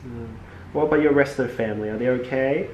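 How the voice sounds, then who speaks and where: A man's voice: mid-range voice, fast, darkish tone. Young male podiatrist from Hong Kong, easygoing small talk with a patient, echoey treatment room. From Hong Kong, moved to New Zealand young. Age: young adult. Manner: easygoing, uses "like" often. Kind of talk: casual chat with a patient while working. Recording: roomy echo, clear background noise.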